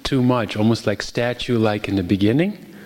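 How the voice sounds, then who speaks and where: A man guiding a meditation as a teacher, speaking gently and unhurriedly with long pauses. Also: on the low side. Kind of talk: guided meditation.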